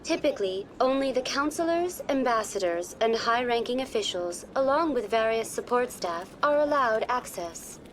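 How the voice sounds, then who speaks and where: A woman's voice: a little high, animated, normal speed, few pauses, a little hiss or hum, close to an everyday voice. A woman playing a polite virtual tour guide program in a game, formal and informative in a scripted guide style. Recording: music behind the voice.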